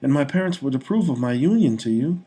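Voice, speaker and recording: Man voicing a gushing young noblewoman in love, pitched up. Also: expressive, fast talker, mellow, slightly rough, low-quality recording. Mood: hopeful pleading. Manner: gushing, earnest, full of hope. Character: young noblewoman, joyful and devoted lover.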